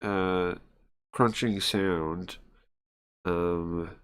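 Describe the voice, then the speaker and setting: A man reading and translating a visual novel aloud on a stream in a halting, informal way, often searching for words and chatting along. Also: fairly even intonation, a bit bright, clean tone. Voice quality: low-pitched voice. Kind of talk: casual live read-through, translating and chatting.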